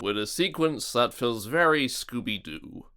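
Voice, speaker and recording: A man's voice: medium pitch, animated, a little slow, loud music behind the voice. A man hosting a scripted video review in a theatrical, mocking manner. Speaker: host of a video review series.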